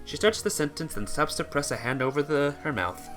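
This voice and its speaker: Guy narrating a visual novel, higher bright voice, theatrical, bit of music behind. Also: animated, brisk.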